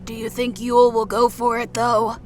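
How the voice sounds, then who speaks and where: A woman's voice: on the high side, flat delivery, normal speed, a little mellow, soft background music, natural voice. A woman voicing a gossipy, scornful female green ogre in a fantasy audiobook. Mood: nosy. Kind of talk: audiobook character acting.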